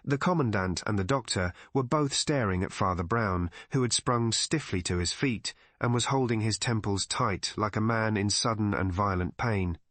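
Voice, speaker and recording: A man's voice: fairly low pitch, normal speed, few pauses, a bit bright, no room sound. Male storybook narrator, very dry sound. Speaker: audiobook narrator. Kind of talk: scripted literary narration of a mystery story.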